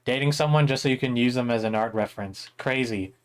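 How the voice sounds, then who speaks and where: A young man, a little hoarse, teaching a drawing class on a live stream in a chatty, rambling way with many fillers. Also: medium pitch, quick pace. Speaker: art instructor streaming a drawing workshop.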